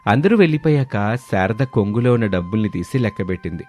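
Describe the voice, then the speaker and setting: A man's voice: on the low side, animated, brisk, darkish tone. A man reading scripted narration for a moral folk story. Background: music.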